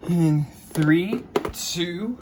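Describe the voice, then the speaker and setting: Man, casual unboxing host, showy announcer delivery, fairly high voice, noisy room recording. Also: expressive, drawn-out words. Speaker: host of a radio unboxing video. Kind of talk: casual hobbyist unboxing narration.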